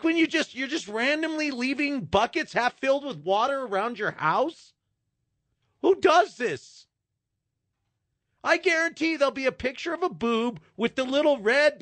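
High, lively talk-radio host ranting. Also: male voice, dry recording. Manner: animated, incredulous ranting. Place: radio studio. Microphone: studio microphone.

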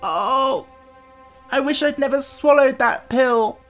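A woman voicing a plucky, excitable young boy in a storybook, easily irritated and quick to exclaim. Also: medium pitch, faint music behind, voice pushed up, lightly acted. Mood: distressed.

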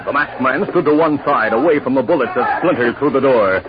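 A man narrating a 1950s western radio drama in a dramatic, deliberate manner. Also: medium pitch, very noisy. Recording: dull vintage radio recording with music.

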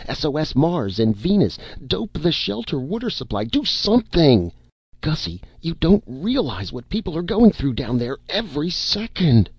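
A man voicing a terrified, frantic grown man whose voice strains and goes shrill as he pleads. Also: very animated, normal speed, dark tone, husky, no echo, heavily acted. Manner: pleading, breaks into high frantic cries.

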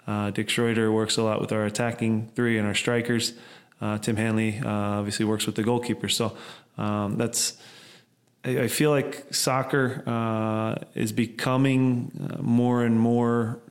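A male soccer coach answers interview questions in a low, level voice that hardly moves in pitch. He rambles along, stacking up fillers and restarting his sentences. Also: little pitch movement, measured pace. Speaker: head coach of a soccer club.

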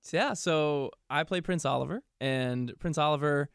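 A man's voice: a little high, normal speed, gravelly, clear, resonant tone, no echo, clean studio sound. A man, an actor, chatting casually as an interview guest.

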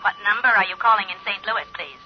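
A woman's voice: medium pitch, quick pace. A polite, by-the-book long-distance operator in an old radio drama. She sounds impersonal through a telephone filter, with dull audio and faint noise. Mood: formal, impersonal. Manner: formal, by-the-book. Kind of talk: 1950s radio drama acting.

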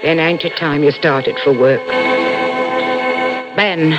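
An actress playing the frightened, pleading working-class wife of a killer in a 1960s radio suspense drama. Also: female voice, low voice, slow pace. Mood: uneasy. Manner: anxious, imploring delivery. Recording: dull old radio broadcast, faint music.

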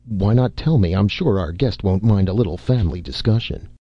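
A man reading an audiobook, voicing a calm, amused middle-aged industrialist. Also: on the low side, a little fast, husky, lo-fi sound. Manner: calm, easygoing.

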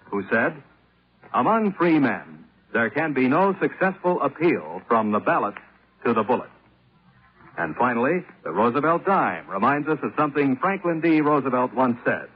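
Animated, formal American radio announcer man. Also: mid-range voice, normal speed. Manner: earnest, formal, oratorical delivery. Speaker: public-service announcer. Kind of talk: scripted 1950s radio announcement. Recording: muffled old radio recording.

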